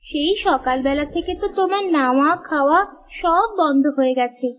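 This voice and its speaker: A woman's voice: sits high, normal speed, muffled recording, a little hiss or hum, natural voice. A woman voicing a caring, nagging farmer's wife in a cartoon, coaxing and full of questions. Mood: soothing. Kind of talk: cartoon moral-story dialogue.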